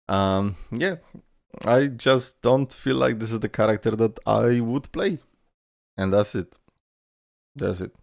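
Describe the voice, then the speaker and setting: A man giving casual reaction commentary, rambling as he thinks out loud. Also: deep voice, creaky, dry recording, studio quality. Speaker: reaction video host.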